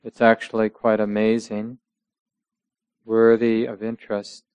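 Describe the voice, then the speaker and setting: Slow, low-voiced man guiding meditation. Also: completely flat delivery, clear, resonant tone, no room sound. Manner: measured, gentle, with long pauses between short phrases. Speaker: meditation teacher. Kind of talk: guided meditation instruction.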